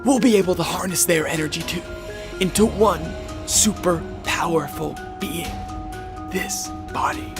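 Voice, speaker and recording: An actor playing an eccentric, grave scientist in a radio drama, lecturing in a theatrical, deliberate way. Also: male voice, high-pitched. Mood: intense, resolute. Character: eccentric male scientist doctor, grave, fervent, scattered. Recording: dramatic music behind the voice.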